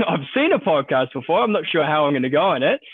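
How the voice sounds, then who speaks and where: Brief: upbeat New Zealand-accented broker, a bit high-voiced, tinny phone-line sound. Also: male voice, big pitch swings, breathy edge, no room sound. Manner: quick, upbeat, repeats words when starting sentences. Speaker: guest, stockbroker. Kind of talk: casual interview talk.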